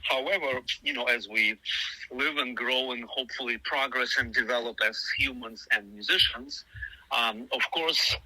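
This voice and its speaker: A man's voice: mid-range voice, moderate pace, bright, forceful tone, no room sound, rough recording. A middle-aged man whose first language is Russian, giving long, thoughtful interview answers full of fillers and restarts. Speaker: musician guest.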